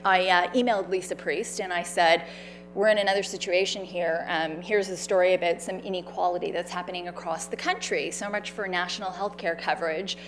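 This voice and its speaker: Loud woman telling her story. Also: fairly low pitch, poor audio. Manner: animated, conversational, with fillers and quoted dialogue. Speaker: speaker sharing her story. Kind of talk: personal storytelling talk. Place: event stage.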